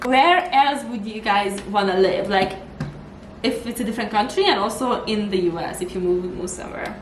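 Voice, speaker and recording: A young woman hosting a casual makeup vlog, chatty and animated in speech, full of "like" and "girl.". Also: medium pitch, moderate pace. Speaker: vlog host. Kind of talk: casual chat while doing makeup. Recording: echoey room, faint noise.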